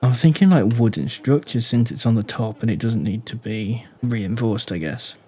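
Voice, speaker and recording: A man giving casual commentary on a game build, speaking deliberately low and quiet while he thinks aloud and asks the viewer questions. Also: breathy edge. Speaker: gaming YouTuber.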